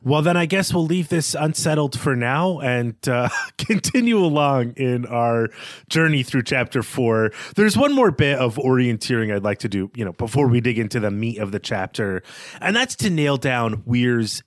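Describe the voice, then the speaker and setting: A man's voice: medium pitch, very animated, moderate pace, no echo, clean studio sound. A man co-hosting a podcast, talking through a book in a conversational, analytical way. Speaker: podcast co-host. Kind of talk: casual literary discussion.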